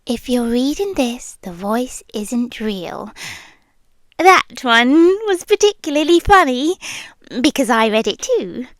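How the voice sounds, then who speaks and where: A British woman playing a possessive, lonely, playful yandere girlfriend who lives in the listener's head, in soft, teasing, whispery ASMR roleplay close to the microphone. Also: fairly high pitch, very animated, slow, dry recording, exaggerated voice. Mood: teasing, intimate. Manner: soft, teasing, drifting between murmur and whisper. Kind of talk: ASMR roleplay, intimate slow delivery with frequent whispering. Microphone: close ASMR microphone, dry.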